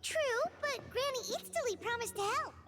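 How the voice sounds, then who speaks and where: A woman's voice: expressive, normal speed. A woman voicing a small, childlike fairy companion, chirpy and eager, in an anime game style. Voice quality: squeaky, childlike. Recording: game music loud behind.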